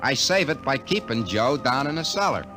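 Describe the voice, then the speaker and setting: A man's voice: mid-range voice, very animated, normal speed, loud music behind the voice, lightly acted. A man playing an easygoing middle-aged repairman in a 1950s radio drama, with chatty salesman patter. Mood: wry.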